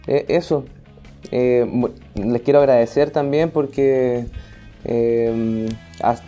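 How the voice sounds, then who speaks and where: A man's voice: medium pitch, mellow. A man with a Chilean accent talking casually as an interview guest, in an informal, colloquial and hesitant way. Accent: Chilean Spanish. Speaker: podcast and theatre artist interviewed as guest.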